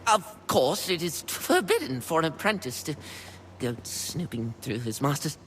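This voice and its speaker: Flustered, high-swooping bookish male apprentice. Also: sing-song, measured pace, a bit bright. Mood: musing, eager. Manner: stammering, fussy, self-correcting, nervous. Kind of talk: video game character dialogue. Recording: long reverb, faint background noise.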